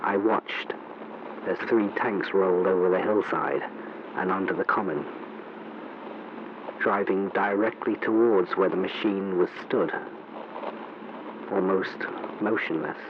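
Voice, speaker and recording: Guy playing a shaken war reporter on old 1930s radio, low solemn voice, really noisy recording. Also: fairly even intonation, lo-fi sound. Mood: grim, urgent. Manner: solemn, deliberate, formal narration. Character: grave, shaken male war reporter recounting an invasion.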